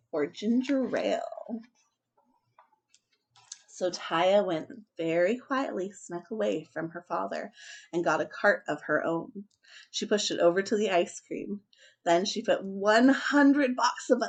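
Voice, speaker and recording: A woman hosting a children's story time, chatting casually and reading aloud in an expressive, emphatic way. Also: medium pitch, slightly rough. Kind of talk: casual chat and animated storybook read-aloud.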